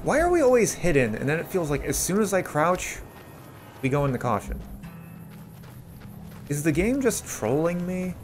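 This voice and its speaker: A man's voice: fairly high pitch, big pitch swings, moderate pace, long pauses, soft background music. A man giving casual, rambling game commentary, thinking aloud as he plays. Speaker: gameplay host.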